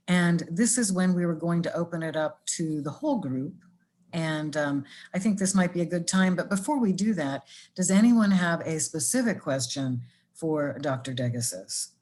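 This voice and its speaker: A woman with a very low voice moderates a webinar panel over a video call. She speaks politely and in a measured way, with some hesitant fillers. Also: darkish tone.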